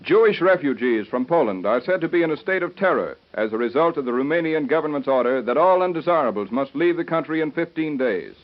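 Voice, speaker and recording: A man's voice: fairly high pitch, expressive, fast talker, few pauses. An American man reading scripted copy as a 1930s radio announcer. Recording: muffled 1939 radio aircheck, music behind.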